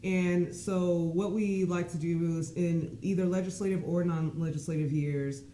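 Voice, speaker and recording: A woman's voice: fairly low pitch, steady tone, normal speed, warm tone. A woman in her thirties, a podcast guest, explaining earnestly and at length in casual conversation. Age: 30s. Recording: echoey room with faint noise.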